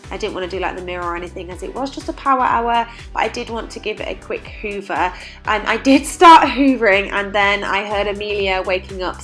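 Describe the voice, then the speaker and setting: A woman's voice: medium pitch, a bit bright. A young woman with a stuffy, congested voice from a cold, chatting casually in a voice-over. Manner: chatty, with frequent "like" fillers. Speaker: cleaning vlogger.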